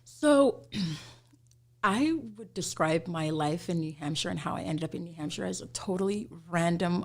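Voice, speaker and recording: Chatty woman guest with a low voice. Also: vocal fry, a little mellow. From Somalia. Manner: chatty, lots of "you know" fillers, laughs easily. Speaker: guest, immigration attorney. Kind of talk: casual interview chat.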